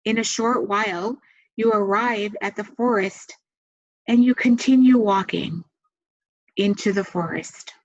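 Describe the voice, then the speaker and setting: Slow-paced woman guiding a meditation. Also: medium pitch. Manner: soothing, gentle instructions with long pauses. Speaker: meditation guide and massage therapist. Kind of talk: guided meditation. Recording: dull, muffled lo-fi sound.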